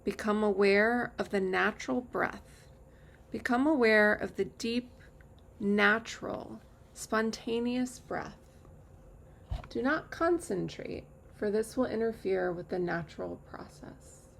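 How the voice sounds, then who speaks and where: Slow, very creaky-voiced woman guiding meditation. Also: medium pitch, a little hiss or hum. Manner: calm, measured instruction with long pauses. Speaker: yoga nidra teacher. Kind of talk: guided meditation.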